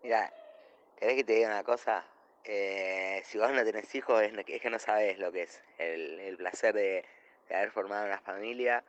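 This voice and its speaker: A man playing a defensive, earnest family man in a comic radio play, halting in speech, with an Argentine accent. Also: lowish voice, muffled recording, lightly acted. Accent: Argentine, Rioplatense. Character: defensive, earnest family man, devoted middle-aged father.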